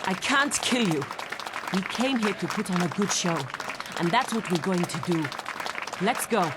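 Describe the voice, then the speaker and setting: A woman's voice: on the low side, lively intonation, normal speed, very noisy, natural voice. An actress playing a defiant young female fighter in a fantasy game, earnest and insistent, in clipped phrases. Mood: indignant, resolute. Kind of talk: dramatic game dialogue. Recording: loud music and crowd behind.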